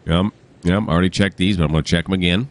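A man with a cold that has deepened his voice, thinking aloud in casual commentary while playing a train simulator. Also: steady tone, fast. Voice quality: deep. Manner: thinks aloud, rambling, self-correcting. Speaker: gaming channel host.

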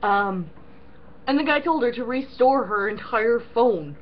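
A young woman co-hosting a casual, profane rant, animated and full of fillers like "um" and "like". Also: fairly high pitch, measured pace, lo-fi sound, a little hiss or hum. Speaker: co-host. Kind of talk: casual ranting chat.

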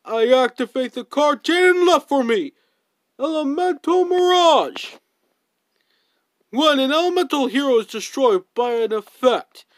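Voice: sits high, no echo. An amateur performer reading out every part in an anime fan dub. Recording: noisy home recording with clicks.

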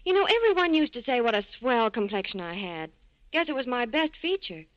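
A girlish, anxious young office worker in a 1940s radio commercial. Her voice is on the high side, with a dry, muffled vintage sound. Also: sing-song, a little fast, no echo. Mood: worried.

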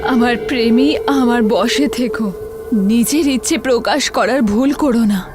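A woman's voice: on the high side, unhurried, lightly acted. A woman voicing a seductive, domineering cartoon witch, cruel and possessive, with a menacing delivery. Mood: coaxing, commanding. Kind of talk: animated horror cartoon dialogue. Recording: loud music under the voice.

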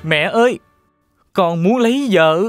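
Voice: medium pitch, expressive, a little slow, faint music behind, natural voice. An actor playing an earnest, polite young male hero in a children's cartoon dub, speaking formally. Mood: earnest, respectful. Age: young adult.

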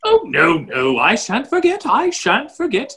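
A man's voice: sits high, huge pitch swings, unhurried, sharp tone, slight background noise, exaggerated voice. Man playing a muddled, swooping, genial duke. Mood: breezy, self-satisfied. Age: middle-aged. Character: muddle-headed, genial, blustering English duke. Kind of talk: staged play reading over video call. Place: indoor office, video call. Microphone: computer microphone on a video call.

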